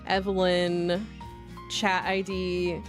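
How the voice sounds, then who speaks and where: Slow-paced woman game streamer, playful and chatty. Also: on the low side, monotone, over music. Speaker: livestream host playing visual novels. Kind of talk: casual game stream chat with reading aloud.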